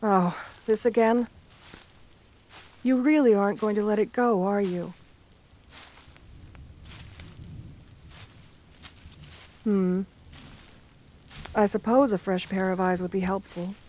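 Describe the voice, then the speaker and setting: A woman voicing a shy, lonely, romantic gargoyle girl in a gentle ASMR roleplay, speaking tenderly with formal, bookish wording. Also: medium pitch, fairly even intonation, measured pace, long pauses, natural voice. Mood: bashful, fond. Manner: tender, soft-spoken, formal old-fashioned wording.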